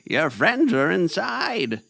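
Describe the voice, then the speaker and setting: A gravelly, crazed old wizard. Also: male voice, high voice, big pitch swings, slow pace, bright, forceful tone, dry recording, exaggerated voice. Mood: excited, welcoming. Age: older. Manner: theatrical, punctuated with cackling laughs. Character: eccentric crazed frail old wizard, courtly, theatrical. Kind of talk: fantasy audio drama acting.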